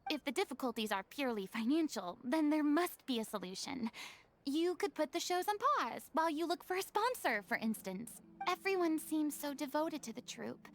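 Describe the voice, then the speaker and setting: A woman's voice: sits high, animated, moderate pace. Flustered, theatrical young lady. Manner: flustered, stammering, then formal. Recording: game audio with faint music.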